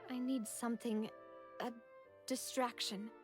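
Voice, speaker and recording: Actress doing a young noble lady in a game, grieving but determined, highish earnest voice, music behind. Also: steady tone, unhurried, lightly acted. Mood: sad, determined. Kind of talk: video game voice acting.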